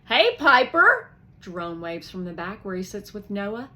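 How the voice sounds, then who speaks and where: A woman's voice: fairly low pitch, fairly even intonation, moderate pace. Woman reading a chapter book to kids. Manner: stops to explain words to young listeners. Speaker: teacher reading a children's book aloud. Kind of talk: expressive read-aloud for kids.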